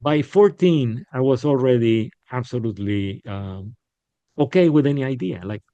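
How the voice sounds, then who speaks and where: A middle-aged man from Puerto Rico, a podcast guest telling stories in a candid, animated way with frequent restarts. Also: medium pitch, moderate pace, dry recording. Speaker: podcast guest, business leader. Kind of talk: casual interview storytelling. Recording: dull, lo-fi sound.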